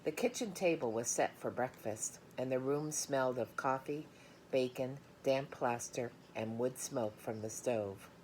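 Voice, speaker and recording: Female storybook reader, strikingly low register, informal read-aloud delivery, slight background noise. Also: unhurried, darkish tone, poor audio. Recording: noticeable background noise, room ambience.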